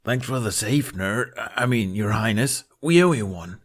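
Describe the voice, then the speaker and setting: A man acts out a cheeky teenage squire in a storybook tale. The manner is mock-reverent, with flattery laid on formally. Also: on the low side, normal speed. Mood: mock reverence.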